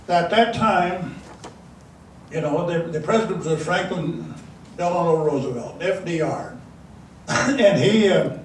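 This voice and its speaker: A man's voice: sits high, a little mellow. Older man reminiscing in an echoey room. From South Dakota. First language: Dakota. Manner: rambling, many restarts and fillers. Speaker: elder giving a talk. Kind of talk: storytelling speech to an audience. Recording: echoey room from far off, faint noise.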